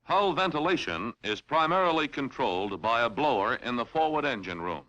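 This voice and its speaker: A man reading scripted instructional narration in a formal, measured, clearly enunciated way, as in a training film. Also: mid-range voice, very animated, sharp tone, rough recording.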